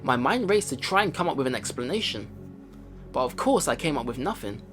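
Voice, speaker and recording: A man's voice: mid-range voice, very animated, a little fast. A man hosting a let's play, reading game narration aloud and reacting casually. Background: game music.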